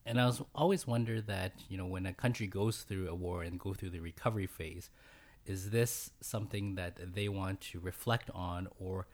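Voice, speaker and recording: A man's voice: sits low, moderate pace. A man hosting a podcast, introducing and interviewing a guest with polite, hesitant phrasing full of fillers. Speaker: podcast host.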